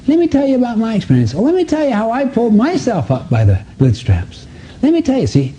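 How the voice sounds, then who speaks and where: A man's voice: fairly high pitch, dark tone, a little hiss or hum. A man giving a motivational seminar talk to a live audience, emphatic, with rhetorical questions and repetition. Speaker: seminar speaker.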